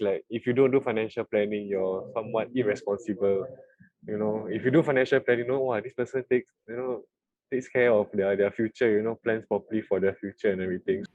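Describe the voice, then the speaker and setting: A man's voice: on the low side, darkish tone, no echo, muffled recording. A man in his twenties with a Singaporean accent, a student answering an interview earnestly and dropping in lots of you-knows. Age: 20s. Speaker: university student.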